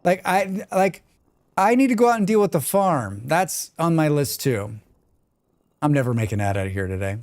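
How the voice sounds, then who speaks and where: A man's voice: fairly high pitch, lively intonation, moderate pace, darkish tone, no echo. Chatty American man streaming a game. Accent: General American. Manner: rambling, chatty, reads chat aloud. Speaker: live streamer. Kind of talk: casual chat while gaming.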